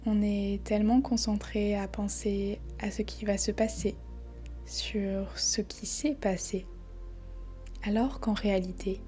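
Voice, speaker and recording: A woman leads a guided meditation very slowly, with long pauses between short phrases. Her voice is soft, breathy and dark-soft, often slipping near a whisper, and stays quite even in pitch. She sounds calm and soothing. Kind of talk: guided body scan meditation. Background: faint background music.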